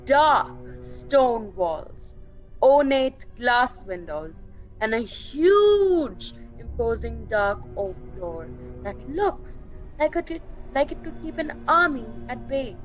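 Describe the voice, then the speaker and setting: A woman narrating a scripted radio play in a measured, atmospheric, dramatic storytelling manner. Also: on the high side, sing-song. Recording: dull lo-fi sound with music.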